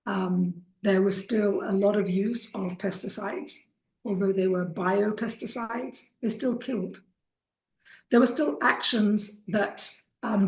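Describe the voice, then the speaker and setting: A middle-aged woman from Kenya gives an informal introductory talk as a course instructor, earnest and deliberate, telling her story with pauses. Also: medium pitch. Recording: echoey room.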